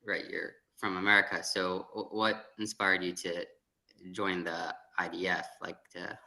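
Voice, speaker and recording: A man interviewing a guest, asking halting, hesitant questions. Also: low voice, little pitch movement, vocal fry, sharp tone, poor audio.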